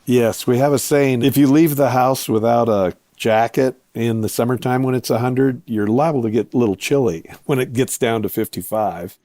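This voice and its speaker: A man being interviewed as a guest, explaining his work step by step in short, measured phrases. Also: medium pitch, mellow, dry recording, studio quality. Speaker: interview guest, winery owner and viticulturist.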